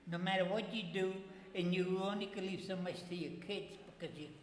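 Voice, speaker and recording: Older man, interview guest, high voice, halting casual anecdotes, repeated words, echoey recording with faint noise. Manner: halting, repeats words, non-standard grammar. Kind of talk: casual personal storytelling. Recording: echoey reverberant room with background noise.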